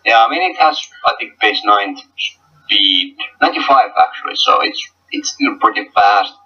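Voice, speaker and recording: A young man from Finland with a Finnish accent, a guest coach talking in a casual online interview, halting with many fillers and restarts. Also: fairly low pitch, sing-song, husky, recorded from a distance, lo-fi sound.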